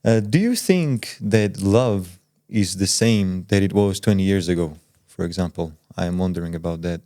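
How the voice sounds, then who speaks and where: A man with a deep, somewhat mellow voice interviews casually in a dry, close-sounding recording. He hedges and takes his time getting to the point, putting the same question several ways. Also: moderate pace, no room sound. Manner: wordy, hedging, rephrases his questions. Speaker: interviewer, podcast co-host.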